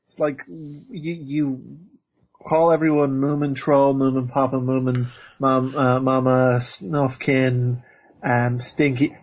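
Husky man joking around. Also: mid-range voice, flat delivery, slow pace, darkish tone, low-quality recording. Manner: joking, rambling with restarts. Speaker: podcast co-host. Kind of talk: casual chat about animation.

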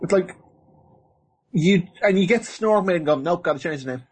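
A man's voice: sits high, lively intonation, a little fast, husky, rough recording. A man co-hosting a casual podcast, rambling and joking as he talks. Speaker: podcast co-host. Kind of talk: casual chat about animation.

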